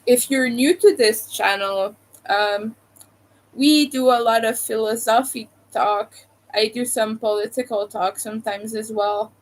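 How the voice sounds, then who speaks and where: Slow-talking woman with a Montreal accent. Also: fairly high pitch, fairly even intonation, low-quality recording. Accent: Montreal Quebec. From Quebec, Canada. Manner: rambling, hesitant, many fillers. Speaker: live streamer. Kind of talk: casual live stream chat with viewers.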